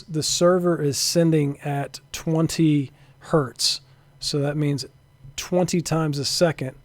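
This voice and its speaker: A man narrating an informal software demo as a developer, thinking aloud hesitantly and sometimes trailing off into a mutter. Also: on the high side, no room sound. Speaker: software developer demonstrating a networking tool.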